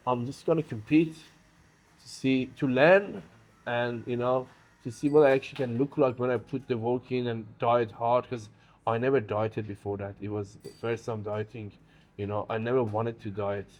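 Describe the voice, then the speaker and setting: A man's voice: medium pitch, steady tone, moderate pace, darkish tone, a little hiss or hum, rough recording. A young man from Iran, a native Farsi speaker, chatting casually in an interview about his life. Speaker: bodybuilder.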